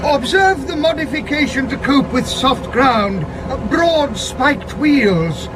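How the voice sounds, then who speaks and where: An actor playing an urbane, pompous villainous professor with an English accent and florid gentlemanly speech, in a 1970s British radio drama. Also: male voice, extremely high pitch, expressive, slow pace, crowd noise, heavily acted. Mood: proud. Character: urbane villainous professor, pompous, cheerfully ruthless criminal mastermind. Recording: noisy old radio drama recording.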